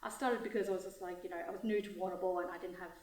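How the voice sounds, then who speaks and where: A woman's voice: fairly low pitch, steady tone, rapid-fire, a little mellow. A woman volunteer talking casually, full of "you know", in an interview. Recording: echoey, far from mic.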